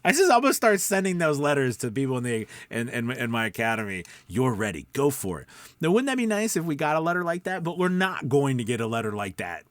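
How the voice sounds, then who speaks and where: A man's voice: mid-range voice, sing-song, fast, no room sound. A man giving casual, rambling coaching advice to viewers, emphatic and self-deprecating. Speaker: voice-over coach and video host.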